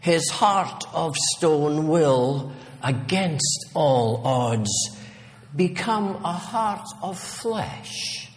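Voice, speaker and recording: Ancient wise river turtle man acting, extremely slow, solemn, reverberant hall. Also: a little high, expressive, pitched above the performer's own voice. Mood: solemn, patient.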